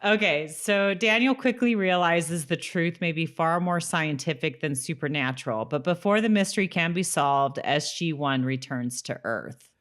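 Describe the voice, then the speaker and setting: A woman's voice: low-pitched, moderate pace, fairly bright tone. A woman co-hosting a podcast, chatting casually in a talkative way that breaks easily into laughter. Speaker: podcast co-host. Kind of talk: casual chat recapping a TV episode, some scripted summary read aloud.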